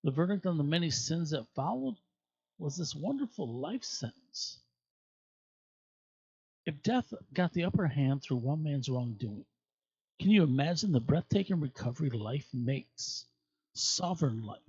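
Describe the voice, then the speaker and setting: A man reading scripture aloud expressively, with emphatic, deliberate phrasing and dramatic pauses. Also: on the high side, lively intonation, darkish tone, husky, no echo, highs cut off. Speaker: scripture reader at a church.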